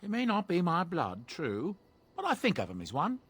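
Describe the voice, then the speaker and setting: Friendly old-world dwarf trader, folksy and chatty, lots of up and down in the voice. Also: male voice, high voice, huge pitch swings, normal speed, fairly bright tone, breathy edge, heavily acted. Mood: genial. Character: kindly middle-aged dwarf merchant, folksy and genial. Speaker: game character.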